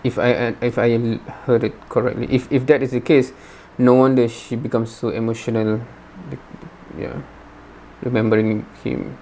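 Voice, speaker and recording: A man giving unscripted reaction commentary as host, halting and often repeating and rephrasing his words. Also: medium pitch, level tone, creaky, a little mellow, slight background noise.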